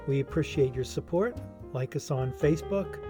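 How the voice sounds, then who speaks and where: Higher-pitched male podcast host over guitar music. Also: moderate pace. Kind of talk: scripted podcast sign-off.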